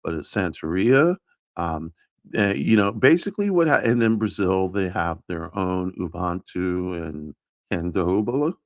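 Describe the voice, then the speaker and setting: A man hosting an early-morning livestream, talking in a halting way full of fillers and restarts, unsure of his pronunciation. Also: low voice, big pitch swings, dry recording, studio quality. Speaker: livestream host. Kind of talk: casual livestream chat.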